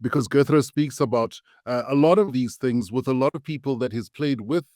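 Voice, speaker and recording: A man hosting a podcast, chatting in a relaxed way and laughing easily. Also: medium pitch, brisk, no room sound, clean studio sound. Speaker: podcast host. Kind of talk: casual podcast chat.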